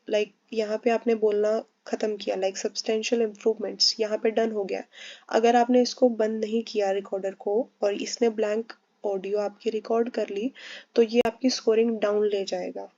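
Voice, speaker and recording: A woman's voice: mid-range voice, mellow, dry recording. A young woman tutoring for an English test, explaining patiently in mixed Hindi and English with frequent check-ins to her students. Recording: dull lo-fi sound.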